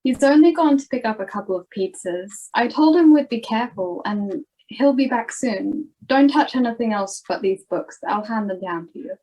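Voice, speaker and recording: A woman's voice: a little high, animated, normal speed, hardly any pauses, dark tone, low-quality recording. An Australian girl of about ten playing a sensible, careful modern schoolgirl in an amateur radio play, recorded at home. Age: 10 to 11, child. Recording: home video-call recording with music.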